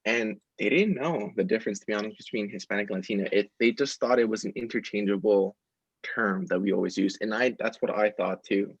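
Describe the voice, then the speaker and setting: Husky young man on a dull, dry mic. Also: fairly low pitch, a little fast, no echo. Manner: explains in long run-on stretches. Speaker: podcast co-host. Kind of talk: casual discussion.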